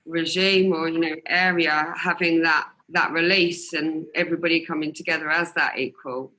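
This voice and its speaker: A man's voice: a little high, fairly even intonation, normal speed, sharp tone, poor audio. A man hosting a radio interview, chatty and affirming as he leads the questions. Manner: chatty, affirming, many yeahs. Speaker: radio host.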